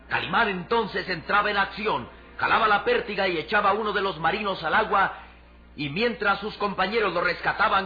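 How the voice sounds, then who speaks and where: A male narrator tells an adventure serial. His delivery is solemn and declamatory. Music plays clearly behind him, and the sound is muffled like old radio. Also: sits high, bright, forceful tone. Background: prominent music.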